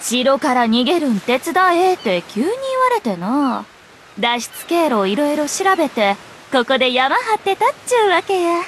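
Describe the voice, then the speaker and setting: A woman's voice: sits high, big pitch swings, moderate pace, a little hiss or hum. A woman playing a breezy, teasing young journalist and playful liar in an anime game, speaking in a coquettish, lilting Kansai dialect. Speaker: game voice actor. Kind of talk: Japanese anime game voice acting.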